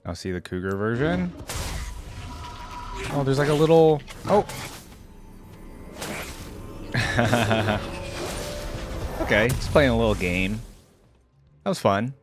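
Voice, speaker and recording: A man's voice: medium pitch, lively intonation, slow pace, long pauses. A man giving casual reaction commentary as a video host, musing and thinking aloud. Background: game music and sound effects.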